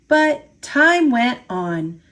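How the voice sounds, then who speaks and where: A woman reads a children's storybook aloud. Her voice sits fairly high and moves through a very animated range of pitch. She takes her time, giving the story an expressive, unhurried delivery. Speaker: storybook reader.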